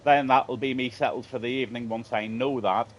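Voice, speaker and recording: Brief: middle-aged male sim racing commentator, mid-pitched, relaxed and chatty, voice slightly tired, light background hiss. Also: a little fast. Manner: chatty, friendly, low-key commentary. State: slightly strained voice. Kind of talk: live stream race commentary.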